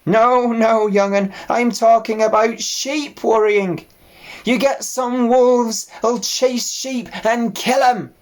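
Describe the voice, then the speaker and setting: A man voicing a mournful old female sheep with a slow, drawling dialect, in an audiobook. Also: very high-pitched, fairly even intonation, pitched above the performer's own voice. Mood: grumbling. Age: older. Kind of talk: audiobook character voice.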